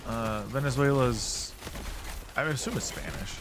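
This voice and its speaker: A man's voice: medium pitch, measured pace, noisy background. A man streaming a game, chatting casually and rambling as he thinks aloud and answers chat. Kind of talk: casual gameplay commentary.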